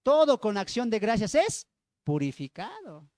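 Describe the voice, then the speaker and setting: A man's voice: sits high, huge pitch swings, measured pace, a little mellow, dry recording, clean studio sound. A man with a Bolivian accent preaching and teaching from the Bible, emphatic, repeating phrases and putting questions to his listeners. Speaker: evangelical preacher.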